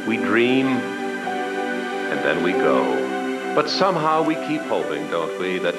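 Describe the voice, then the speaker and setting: An older man narrating a radio serial on stage in a measured, dramatic announcer's manner. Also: low voice, big pitch swings. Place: hotel ballroom stage with audience. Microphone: stand mic on stage. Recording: organ music loud under voice. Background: organ music.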